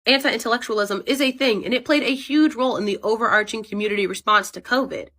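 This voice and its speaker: A woman's voice: on the high side, fast talker, pushed and bright. A woman delivering an emphatic, exasperated commentary clip.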